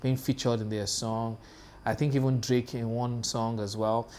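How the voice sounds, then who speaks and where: A Nigerian man in his thirties co-hosts a studio talk show. He speaks haltingly, filling the gaps with "uh" and "um" and restarting his sentences. Faint noise sits under the voice. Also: mid-range voice, little pitch movement, a little mellow. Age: 30s. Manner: hesitant, many fillers and restarts. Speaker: co-host. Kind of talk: casual panel chat. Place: television studio.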